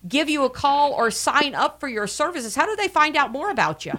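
A woman's voice: high voice, big pitch swings, fairly quick, pushed and bright. A middle-aged woman hosting a casual radio interview, chatty and full of repeated words and fillers. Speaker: host.

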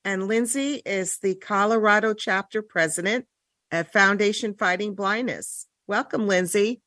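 A woman hosting a talk radio show, steering the chat with halting starts and restarts. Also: mid-range voice, a bit bright, no room sound, studio quality.

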